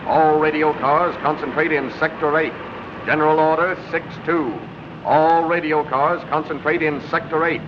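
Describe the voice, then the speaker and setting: A man's voice: fairly high pitch, steady tone, normal speed, close to an everyday voice. An actor playing a formal male police dispatcher making clipped official announcements in an old-time drama. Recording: muffled, noisy old police radio sound.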